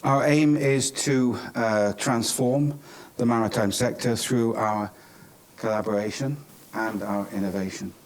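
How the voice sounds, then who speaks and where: A British man from Cornwall giving semi-formal closing remarks at a conference, speaking in a halting, hesitant way. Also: lowish voice, fairly even intonation. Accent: British English. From Cornwall, England. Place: indoor business school conference venue. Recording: clearly noisy conference room recording.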